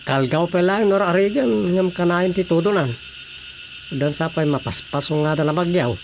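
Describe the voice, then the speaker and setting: A man's voice: high voice, moderate pace, slight background noise. A man playing a fussy, anxious village official with an Ilocano accent in a radio comedy drama. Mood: conversational.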